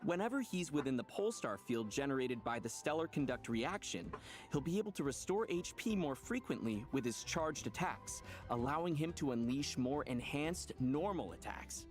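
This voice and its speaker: A man's voice: medium pitch, very animated, normal speed. A man hosting a scripted, upbeat promotional game showcase as its presenter. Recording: faint music under the voice. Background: light background music.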